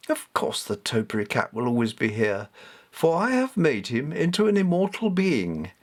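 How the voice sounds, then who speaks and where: A man's voice: on the high side, pitched above the performer's own voice. A man voicing a commanding, formal, intimidating yet caring female cat goddess in a storybook reading.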